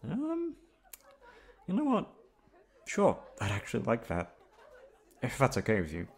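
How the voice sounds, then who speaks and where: A young man playing a shy, easygoing college classmate in an ASMR roleplay, talking casually in a hesitant, halting way. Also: medium pitch, wildly swooping pitch, breathy edge, some chatter behind, heavily acted. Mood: surprised, awkward. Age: young adult. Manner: hesitant, halting, awkward chuckles. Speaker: voice actor. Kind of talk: ASMR roleplay audio drama, casual conversational.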